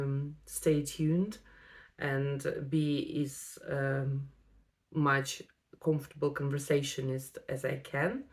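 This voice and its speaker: A woman, an English speaker, telling a personal story to camera with many ums and pauses. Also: sits low, a little mellow. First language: Russian. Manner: unhurried, many ums and pauses, non-native phrasing. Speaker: vlogger.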